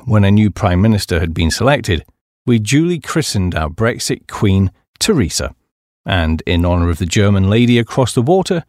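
A man's voice: lowish voice, a little mellow, no room sound, clean studio sound. A middle-aged British man reading a humorous memoir as an audiobook, with a wry storytelling delivery. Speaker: audiobook narrator.

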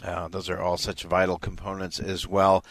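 A man's voice: deep voice, monotone, normal speed, fairly bright tone, no room sound. A man hosting a live radio broadcast, speaking in an earnest, affirming way as he interviews and comments. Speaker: radio host.

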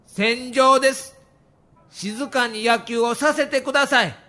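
A man's voice: very high voice, steady tone, measured pace, pushed and bright, exaggerated voice. A man playing a grave, earnest middle-aged baseball manager with a Kansai accent in an audio documentary, speaking politely and solemnly. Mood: pleading, firm. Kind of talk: acted dialogue in audio documentary.

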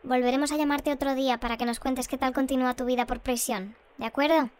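A woman's voice: high-pitched, fairly even intonation, quick pace, slight background noise, lightly acted. An actress playing a polite, composed young woman presenting a scripted news bulletin in an audio drama.

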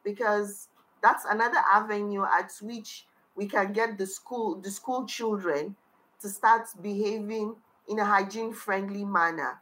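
A woman's voice: medium pitch, fairly even intonation, a little slow, fairly bright tone, poor audio. A woman with a Nigerian accent, the founder of a charity, answering interview questions in a heartfelt way and often repeating phrases.

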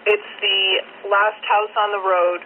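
A woman's voice: mid-range voice, level tone, takes it slowly. A woman working as a fire dispatcher, making formal, procedural emergency announcements over two-way radio and repeating key details. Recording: tinny, noisy emergency scanner radio.

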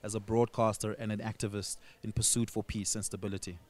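A man's voice: on the low side, level tone, quick pace, background noise. A man, asking questions as a radio interviewer.

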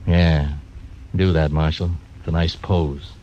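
A hard, fearless gambler. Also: male voice, rumbling low, steady tone, slow. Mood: menacing. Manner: menacing, blunt. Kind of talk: 1940s radio acting. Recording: dull old radio recording, faint hiss.

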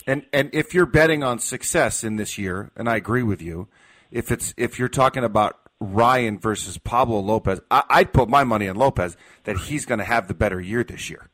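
A man's voice: mid-range voice, moderate pace, fairly bright tone. A man hosting a live sports talk radio show in an unscripted, conversational way.

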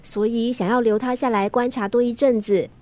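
An actress playing a worried, sensible young daughter in a radio drama. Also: female voice, fairly high pitch, fairly even intonation, brisk, darkish tone, lightly acted. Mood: explaining. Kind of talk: Chinese radio drama acting.

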